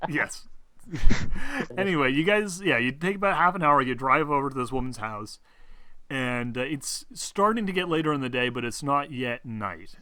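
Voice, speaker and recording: A man running a tabletop game, narrating casually in a halting, rambling way. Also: medium pitch, lively intonation, pushed and bright. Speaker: game master.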